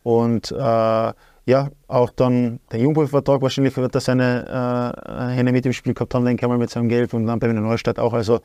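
An Austrian man in his thirties talks as a guest, stopping often with fillers and starting his sentences over. His pitch stays flat and even. The sound is close and dry. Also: a little fast, no room sound. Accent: Austrian German. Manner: hesitant, many fillers and restarts. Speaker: guest, sporting director. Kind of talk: casual talk show chat.

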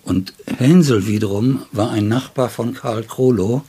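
An older man, a writer, reminisces in an interview in a deliberate, anecdotal way with long, detailed sentences. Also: mid-range voice, warm tone, husky. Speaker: writer and literary editor being interviewed.

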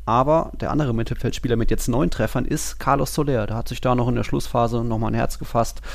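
A man's voice: medium pitch, steady tone, fast, gravelly. A man co-hosting a football podcast, chatting in a casual, laid-back, colloquial way.

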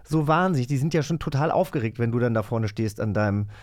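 A man's voice: medium pitch, expressive, fast talker, a little mellow, no echo. A man co-hosting a podcast, chatting casually and with enthusiasm. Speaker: podcast co-host. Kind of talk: casual chat.

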